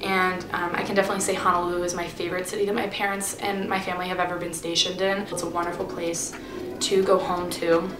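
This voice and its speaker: A woman's voice: fairly low pitch, little pitch movement, quick pace, background noise. A young woman in her twenties chatting casually to camera as a vlogger, bubbly and rambling with fillers, sitting in her bed at home. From Pennsylvania, USA. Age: 20s. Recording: roomy echo with music behind. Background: music.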